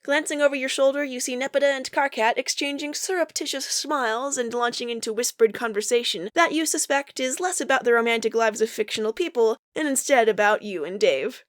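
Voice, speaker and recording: A woman's voice: a little high, a little fast, hardly any pauses, no room sound, studio quality. A woman reading fan fiction aloud as a podfic voice actor, narrating in the second person and acting out dialogue.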